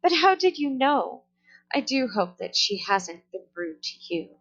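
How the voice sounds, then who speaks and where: A fretful storybook mother, as a woman reader puts her on, fussy and exclamatory. Her voice sits fairly high and swings up and down expressively. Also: sing-song, measured pace, highs cut off, exaggerated voice. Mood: flustered. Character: worried, fussy mother upset about her rude daughter.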